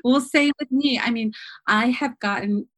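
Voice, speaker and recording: A woman guest on a podcast, talking in a lively, casual way, restarting her sentences often. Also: fairly high pitch, normal speed, dry recording. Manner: animated, restarts sentences, many fillers. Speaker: travel journalist guest.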